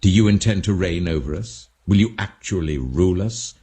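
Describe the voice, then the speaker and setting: A man's voice: deep voice, lively intonation, moderate pace, mellow, voice pushed up. A man voicing jealous, scheming grown brothers from a shepherd family in a dramatic Bible reading. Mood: scornful.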